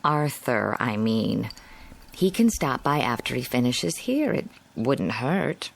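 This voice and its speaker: An actress playing a chatty, hospitable small-town housewife with a genteel, effusive manner in a radio drama. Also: female voice, extremely low pitch, very animated, slow pace, vocal fry, slight background noise, full character voice. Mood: neighborly. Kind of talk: naturalistic radio drama dialogue.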